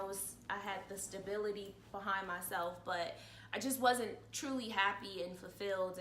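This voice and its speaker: A woman's voice: mid-range voice, sharp tone. Chatty woman in her early thirties, echoey room. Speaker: interview guest, actress. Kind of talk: casual personal chat. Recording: echoey room with faint noise.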